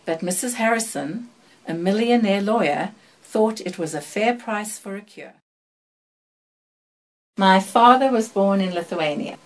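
An older woman from South Africa with a South African accent tinged by British and American sounds, reading a passage and then recalling her life in a measured, even way with thoughtful pauses. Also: on the low side, low-quality recording. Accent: South African, mixed with British and American. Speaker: accent archive speaker.

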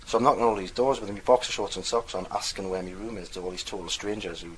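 A young man speaks with a mild Geordie accent in a very deep, low register. He tells his story in a loose, rambling way, and a faint ambient hum sits under his voice. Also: steady tone, fast, rough recording. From North East England. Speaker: dialect archive speaker. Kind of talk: casual recorded anecdote.

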